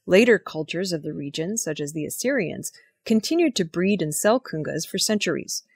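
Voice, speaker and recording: A woman presenting scripted news stories in a measured, formal reading manner. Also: on the low side, expressive, vocal fry, slightly rough, dry recording, studio quality.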